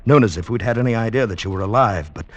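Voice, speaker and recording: An actor playing a troubled, earnest gentleman and former mountaineer in a vintage radio drama, with an emphatic dramatic delivery. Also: fairly low pitch, quick pace, no echo, dull audio, close to an everyday voice. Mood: stunned. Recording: noisy vintage radio recording.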